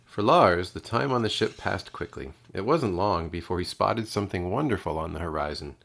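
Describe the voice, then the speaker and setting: A man's voice: low voice, animated, moderate pace, creaky, a bit bright. A man reading children's storybooks aloud expressively, like a kindergarten teacher.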